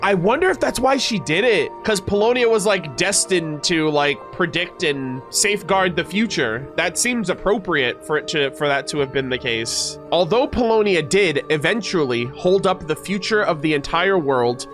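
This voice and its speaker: A man, a game streamer, reading lore aloud and reacting with casual, slangy, excitable commentary. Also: fairly high pitch, moderate pace, hardly any pauses. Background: background music.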